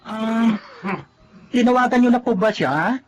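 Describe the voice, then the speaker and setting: A woman's voice: a little high, a little slow, lo-fi sound, a little hiss or hum, close to an everyday voice. An actress playing a concerned young female cousin, earnest and chiding, in radio drama style. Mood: worried. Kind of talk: Filipino radio drama acting.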